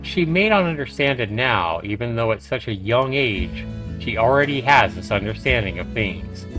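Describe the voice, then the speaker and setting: A man's voice: mid-range voice, expressive, normal speed. A man livestreaming a game, reading its narration aloud dramatically with casual asides. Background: game music.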